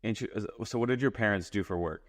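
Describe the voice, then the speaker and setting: A man's voice: lowish voice, fairly quick. A man hosting a podcast, asking curious questions in casual chat and laughing easily. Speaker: podcast host.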